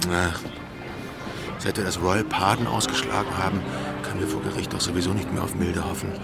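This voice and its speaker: An actor playing a swaggering, teasing pirate captain in a radio drama, with a theatrical, taunting and menacing delivery. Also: male voice, deep voice, steady tone, a little fast, creaky. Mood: cold, calculating. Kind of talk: German radio drama acting. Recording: studio radio play under loud music.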